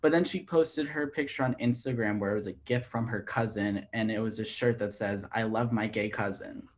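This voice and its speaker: A man's voice: fairly low pitch, moderate pace. A young man of twenty, co-hosting a casual chat podcast. He rambles a bit, leans on filler words and laughs easily between points. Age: 20s. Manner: chatty, heavy use of "like", laughs easily. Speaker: podcast co-host.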